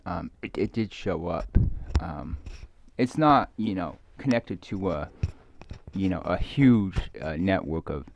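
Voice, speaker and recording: A middle-aged man giving a rambling, musing ASMR talk, halting and repeating himself as his thoughts meander and trail off. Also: sits low, sing-song, vocal fry. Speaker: ASMR video creator. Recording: muffled lo-fi close microphone, dry.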